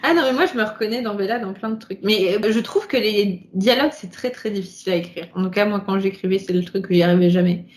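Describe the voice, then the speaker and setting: A woman's voice: medium pitch, quick pace, few pauses. A woman whose first language is not French, co-hosting a podcast in casual chat, talking freely and laughing often. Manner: chatty, laughs often, wraps up the show. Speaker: podcast co-host.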